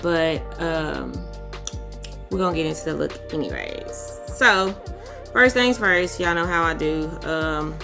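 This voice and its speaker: A young woman, hoarse and husky, chatting casually as a beauty vlogger while telling a story. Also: sits low, a little slow. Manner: relaxed, chatty, says y'all and um often. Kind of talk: casual storytelling chit chat. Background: music.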